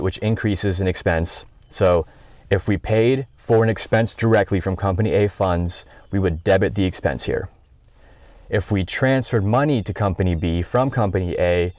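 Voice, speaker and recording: Low-voiced American man giving a tutorial. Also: darkish tone, no echo. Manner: conversational, explanatory. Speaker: tutorial instructor. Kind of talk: informal screen-share software tutorial.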